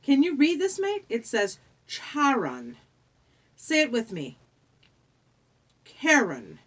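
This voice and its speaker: A woman voicing an elegant, coldly sweet ferryman of the dead with a British accent and a smooth, condescending drawl, for an audiobook. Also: sits high, huge pitch swings, slow pace, voice pushed up, heavily acted. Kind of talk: audiobook character voice.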